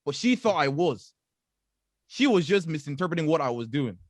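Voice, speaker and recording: A man, co-hosting a casual podcast and teasing his friends in fast banter. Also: a little high, very animated, no echo, clean studio sound. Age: 20s. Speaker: podcast co-host. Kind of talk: casual group chat.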